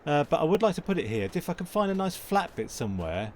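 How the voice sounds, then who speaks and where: A man with a croaky voice casually commentating a farming game, rambling and correcting himself as he plays. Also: a little high, very animated, fast, a little mellow, a little hiss or hum. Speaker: gaming channel host.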